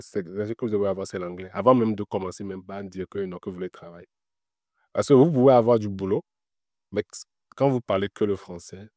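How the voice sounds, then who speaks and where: A man's voice: fairly low pitch, a little mellow, no room sound, studio quality. A man being interviewed, giving casual career advice in an explanatory way, repeating his points with frequent filler words. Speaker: interview guest working in Web3.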